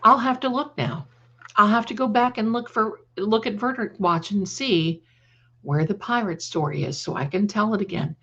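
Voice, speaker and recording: A woman's voice: medium pitch, moderate pace, fairly bright tone, husky, lo-fi sound. A woman hosting a casual live chat, rambling and repeating phrases, with affectionate endearments for her viewers. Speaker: livestream host and creator.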